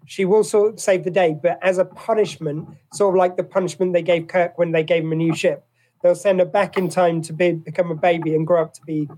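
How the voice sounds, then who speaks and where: Male co-host, higher-pitched voice. Animated, mock-exasperated fan chat. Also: brisk, low-quality recording. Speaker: podcast co-host.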